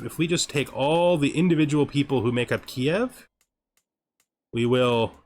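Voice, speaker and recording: A man hosts a let's play in a casual commentary style. His voice sits at a middling pitch, and he talks as if thinking aloud to himself. Game music plays behind his voice.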